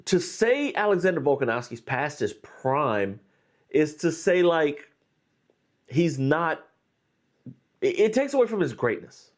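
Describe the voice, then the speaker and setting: A man's voice: fairly high pitch, very animated, measured pace, pauses often. A man hosting a live stream, talking casually and rambling through fight analysis. He is under the weather and sounds nasal and stuffy, with plenty of fillers and restarts. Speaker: host.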